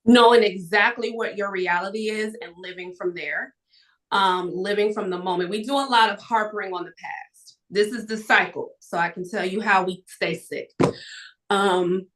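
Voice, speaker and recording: A woman speaking as a guest in a motivational live conversation, with an emphatic, projecting, animated storytelling delivery. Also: mid-range voice, poor audio. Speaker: guest author and entrepreneur.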